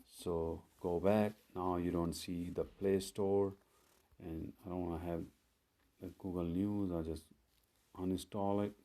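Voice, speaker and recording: Slow, low-voiced man walking through phone steps. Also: fairly even intonation, dark tone. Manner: step-by-step, unhurried, plain instructions with fillers. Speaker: tech tutorial host. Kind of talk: screen-recorded phone tutorial.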